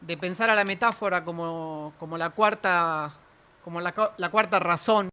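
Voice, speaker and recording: A woman moderates a talk over a video call, passing on a question from the audience. Her voice is bright and forward. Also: on the low side, normal speed.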